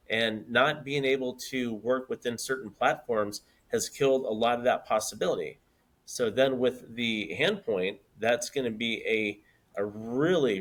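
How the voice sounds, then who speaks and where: Guy in his fifties chatting on a podcast, earnest, mid pitch, keeps repeating himself mid-point. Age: 50s. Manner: earnest, repeats words while building a point. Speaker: podcast co-host. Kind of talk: casual podcast chat.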